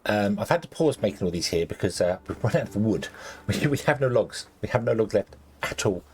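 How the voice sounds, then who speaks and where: A man commentating his gameplay casually, rambling with lots of ums and restarts, and a little croaky as he loses his voice. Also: lowish voice, animated, brisk, slight background noise. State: slightly croaky, losing his voice. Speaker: let's play host.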